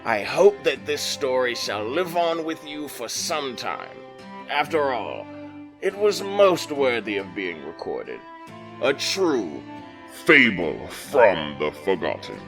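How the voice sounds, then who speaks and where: A man's voice: mid-range voice, sing-song, takes it slowly, over music. A man playing a genial, theatrical keeper of tales who courteously addresses his guest in an audio drama. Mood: genial, grandiose. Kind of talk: audio drama host narration.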